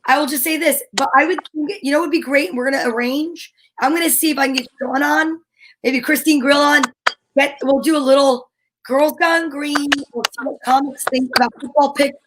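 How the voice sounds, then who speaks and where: A woman with a high voice co-hosts a casual chat show. She is talkative and joking, rambling from one thought to the next. The livestream audio carries faint noise and clicks. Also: steady tone, fairly quick. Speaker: show co-host.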